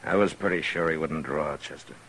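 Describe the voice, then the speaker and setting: Really low voiced tough american frontier marshal, blunt n deliberate, old radio western, noisy recording. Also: male voice, a bit bright. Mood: calm, wary. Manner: deliberate, blunt, dryly menacing. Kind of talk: 1950s western radio acting.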